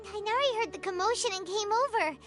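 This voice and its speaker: A woman voicing a tiny childlike fairy companion in a video game, excitable, exclamatory and squeaky. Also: a little fast. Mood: chatty. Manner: exclamatory, refers to self in third person. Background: game music.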